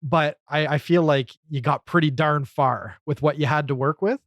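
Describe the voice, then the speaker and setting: Higher-voiced man chatting casually, dry close sound. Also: moderate pace, dry recording. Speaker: podcast guest commentator. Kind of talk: casual podcast discussion.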